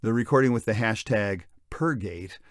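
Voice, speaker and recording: A man's voice: fairly low pitch, animated, normal speed, dry recording, studio quality, close to an everyday voice. A man playing a sober evening news anchor, reading a formal scripted bulletin in an audio drama. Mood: sober, factual. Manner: formal newsreading.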